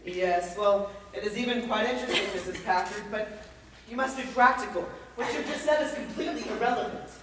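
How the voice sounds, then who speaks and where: A man's voice: high voice, brisk, natural voice. A young man on stage playing a patronizing, stern asylum doctor with a formal, condescending manner. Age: young adult. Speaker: student actor. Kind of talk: stage drama acting. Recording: echoey hall, distant mic, clear noise.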